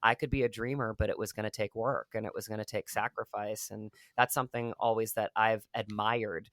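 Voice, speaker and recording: A man's voice: lowish voice, flat delivery, fairly quick, a bit bright, dry recording. A man from rural Virginia, an actor guest talking in a reflective, rambling way with many fillers. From rural Virginia, USA. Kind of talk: casual interview talk.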